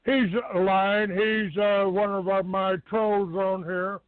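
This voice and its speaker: A man with a distinctly high voice hosts a call-in radio show. He is blunt and combative, quick to brush callers off. The sound is dry and narrow, like a telephone. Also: flat delivery, clear, resonant tone, dry recording. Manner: blunt, combative, dismissive, swearing. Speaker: talk show host taking calls. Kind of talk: live internet radio call-in talk. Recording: narrow phone-like low-fidelity internet radio sound.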